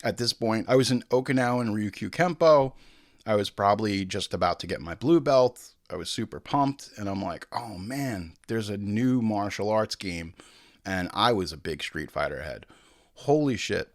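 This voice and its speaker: Low-voiced American man hosting, close and dry. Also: animated, moderate pace, dry recording. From Long Island, New York, United States. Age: middle-aged. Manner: hyperbolic, joking, profane. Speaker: film podcast host. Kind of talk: casual humorous monologue.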